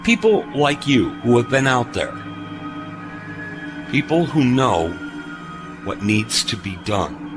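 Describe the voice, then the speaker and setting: An actor playing a retired starship captain in an audio drama, wry, understated and measured. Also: male voice, medium pitch, big pitch swings, over music. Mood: diplomatic.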